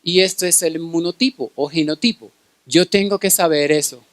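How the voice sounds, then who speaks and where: A man's voice: fairly high pitch, normal speed, no echo. A middle-aged man with a Colombian Caribbean accent giving a medical conference lecture, often checking in with short tag questions. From Cartagena, Colombia. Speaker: pediatric infectious disease specialist giving a lecture.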